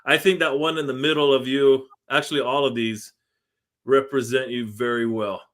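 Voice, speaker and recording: A man's voice: medium pitch, fairly even intonation, moderate pace, fairly bright tone. A man hosting a podcast, chatting casually with a guest and laughing along as he reminisces. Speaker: podcast host. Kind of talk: casual interview chat.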